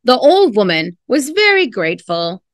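A woman's voice: a little high, big pitch swings, normal speed, dry recording, clean studio sound. A middle-aged woman, a teacher reading a picture book aloud with chatty, friendly asides to young listeners. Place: indoors in a classroom.

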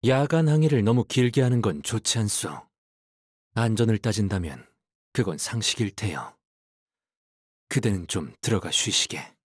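A man voicing a courteous ship's first mate in a video game, speaking in formal, old-fashioned, gentlemanly phrases. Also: lowish voice, animated, husky, no echo, studio quality. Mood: gentle, low. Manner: archaic, gentlemanly, measured phrasing. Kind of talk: video game character voice lines.

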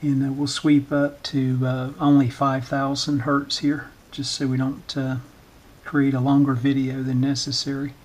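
A man giving an informal hands-on demonstration in short, halting, hesitant phrases, talking at a workbench indoors. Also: medium pitch, completely flat delivery. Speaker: electronics repair channel host, demonstrator.

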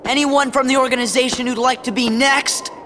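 A man's voice: extremely high pitch, steady tone, brisk, sharp tone, slight background noise. An actor, a man, playing an earnest, brash teenage boy hero in a video game. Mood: bold. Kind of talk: video game cutscene acting.

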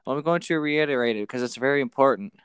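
A man's voice: mid-range voice, quick pace, a bit bright, clear, resonant tone, no echo. A man co-hosting casually, reading a book aloud with some banter among friends.

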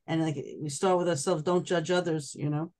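A host speaking thoughtfully on a group video call, with pauses and restarts. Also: mid-range voice, steady tone, darkish tone. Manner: thoughtful, hesitant, many restarts. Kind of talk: casual group discussion.

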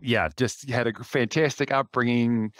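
A man's voice: medium pitch, fairly even intonation, measured pace, no echo. A man in his fifties with a New Zealand accent, a podcast guest talking reflectively over a video call from an office, often restarting his phrases. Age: 50s. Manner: reflective, with light laughs and frequent restarts. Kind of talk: casual interview chat. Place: indoor office. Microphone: headset on a video call.

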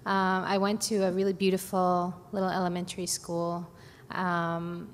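A woman from Brooklyn recounting her life in an oral history interview, hesitant with many pauses and fillers. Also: on the low side, monotone. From Brooklyn, New York. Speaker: oral history narrator on a panel. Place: conference hall. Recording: echoey hall, faint background noise.

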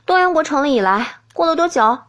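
Woman playing a high-voiced, feisty young princess. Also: brisk. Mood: smug. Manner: excitable, indignant. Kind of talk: audiobook character voice.

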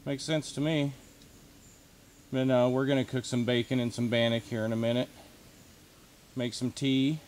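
A middle-aged man narrating casually to a camera outdoors in the woods, in an unhurried, folksy way. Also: medium pitch, flat delivery, a little mellow, a little hiss or hum. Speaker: outdoor vlogger. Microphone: camcorder a few feet away.

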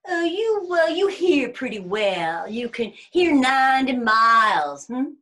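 A woman voicing a boastful, sulky male bear in a storybook, with a blustering, drawn-out and emphatic delivery. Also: high-pitched, big pitch swings, sharp tone, heavily acted. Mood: scheming, bragging. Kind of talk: animated storybook reading.

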